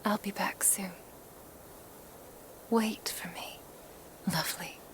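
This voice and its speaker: A woman's voice: medium pitch, takes it slowly, fairly bright tone. A woman playing a doting, possessive kitsune witch in a whispered ASMR roleplay, speaking tenderly in formal, archaic phrases. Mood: tender, reassuring.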